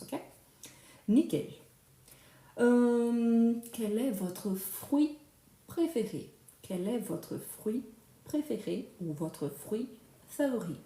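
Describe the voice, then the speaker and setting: A young woman whose first language is Brazilian Portuguese, teaching a live French lesson slowly and repeating phrases for learners. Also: medium pitch, warm tone, roomy sound.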